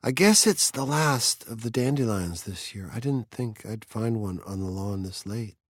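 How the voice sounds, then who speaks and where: A man's voice: fairly low pitch, animated, moderate pace, no echo, studio quality. A man voicing a peculiar, dreamy teenage girl of nearly seventeen, whimsical and earnest in her chatter.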